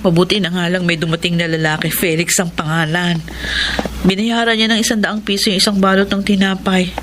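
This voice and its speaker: A woman's voice: lowish voice, flat delivery, fairly quick, a bit bright, slight background noise, natural voice. An actress playing a poor, desperate mother in a radio drama, speaking in an earnest, humble manner. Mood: subdued. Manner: pleading, earnest.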